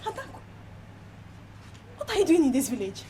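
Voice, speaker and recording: Scheming Nigerian wife, high lively voice, sharp-tongued, insistent, screen drama, noisy location sound. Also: female voice, moderate pace. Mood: anxious, placating. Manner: scornful, insistent. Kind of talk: Nollywood film drama acting. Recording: clear background noise, location ambience.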